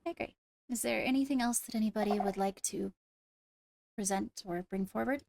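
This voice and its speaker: Creaky-voiced woman playing a measured, caring ruler. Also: mid-range voice, noisy background, close to an everyday voice. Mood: concerned, reassuring. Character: caring, earnest female ruler holding court. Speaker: player leading a roleplay court. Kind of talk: improvised Minecraft roleplay.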